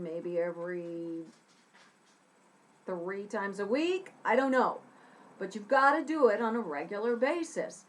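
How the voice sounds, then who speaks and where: A middle-aged woman from Texas teaching scriptwriting in a chatty, animated way, talking to a webcam in a small room. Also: medium pitch. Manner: chatty, animated, gesturing with her hands. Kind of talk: casual talk to camera. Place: small room with a dark curtain behind.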